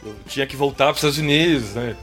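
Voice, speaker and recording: A man's voice: medium pitch, fast talker, a bit bright, faint music behind. A man with a Brazilian Portuguese accent, a musician being interviewed, talking loosely and tagging his sentences with fillers. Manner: rambling, full of filler tags. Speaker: guest musician. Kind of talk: casual interview chat.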